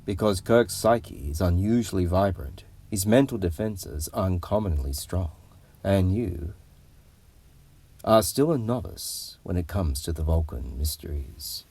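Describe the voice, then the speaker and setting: A man playing a calm, grave Vulcan alien mind-reader in an audio comic, speaking in a measured, solemn, hushed way. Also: sits low, gravelly, recorded from a distance, exaggerated voice. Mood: dry, superior. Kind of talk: dramatic audio comic storytelling.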